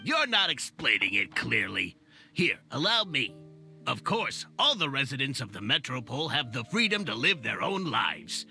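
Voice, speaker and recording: Sharp, gravelly, fussy townsman. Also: mid-range voice, very animated, moderate pace, soft background music, heavily acted. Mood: self-assured. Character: fussy, self-assured male fantasy townsperson, lecturing. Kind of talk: video game voice acting.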